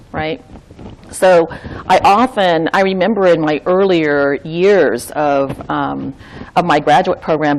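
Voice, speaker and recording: A woman's voice: low-pitched, slight background noise, poor audio. A woman who trains dialogue facilitators, talking earnestly and reflectively, thinking aloud with restarts and frequent "right?". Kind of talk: reflective conversational talk.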